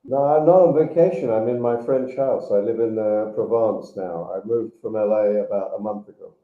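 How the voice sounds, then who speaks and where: A man's voice: lowish voice, moderate pace. A man with a British accent, a guest actor and writer calling in from his house, talking in a wry, self-deprecating way. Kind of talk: phone-in interview. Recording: tinny, echoey phone line.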